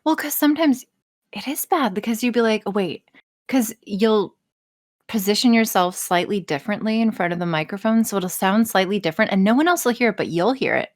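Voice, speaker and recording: A woman's voice: medium pitch, brisk, dry recording. A woman with a mid-range voice talks casually as a podcast guest. She is blunt and self-deprecating and swears freely. The sound is dry, with very little room in it. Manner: sweary, blunt, self-deprecating, animated storytelling with acted-out asides. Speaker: podcast guest, audio voice performer. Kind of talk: casual interview chat.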